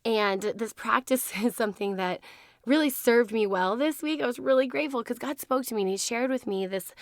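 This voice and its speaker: A hoarse woman hosting her podcast in a casual, chatty way, laughing often. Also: a little high, a little fast, no room sound, clean studio sound. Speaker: podcast host. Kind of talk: casual chat.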